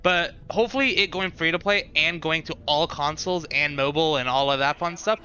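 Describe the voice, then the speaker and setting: A man's voice: a little high, brisk, pushed and bright, muffled recording. A man live streaming casual reactions and commentary, rambling as he thinks aloud, with music behind him. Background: game showcase trailer audio and music.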